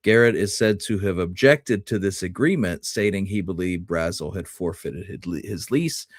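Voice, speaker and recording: Low-voiced man, dry sound. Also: normal speed, no echo. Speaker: history podcast co-host. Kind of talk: casual discussion, reading from articles.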